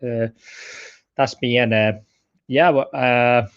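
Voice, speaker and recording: A man's voice: mid-range voice, steady tone, slow pace, clean tone, muffled recording. A man with a Spanish accent, guest on a live stream, talking casually from his sofa at home, hesitant with many fillers. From Spain. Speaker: guest digital marketer.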